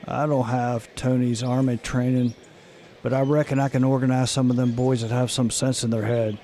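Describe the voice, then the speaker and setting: A man's voice: mid-range voice, level tone, moderate pace, warm tone, slight background noise, natural voice. An actor with an American accent playing a plain-spoken, dependable rural posse man in a radio drama. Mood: dry, willing.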